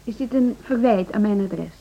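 A woman's voice: mid-range voice, moderate pace, vocal fry, warm tone, highs cut off. A woman playing an idealistic, headstrong younger music teacher in a Dutch radio play, speaking earnestly and stubbornly. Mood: defiant, hurt.

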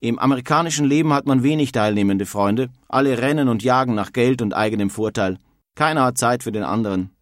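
A man's voice: medium pitch, fairly quick, no room sound, studio quality, close to an everyday voice. An actor playing a disillusioned 19th-century emigrant man, reading his letters home in formal, old-fashioned wording. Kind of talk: dramatic reading of historical letters.

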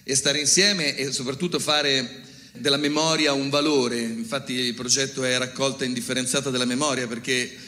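A man's voice: medium pitch, steady tone, normal speed, pushed and bright. A man introducing a theatre collective's project in a spoken presentation. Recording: long hall reverb.

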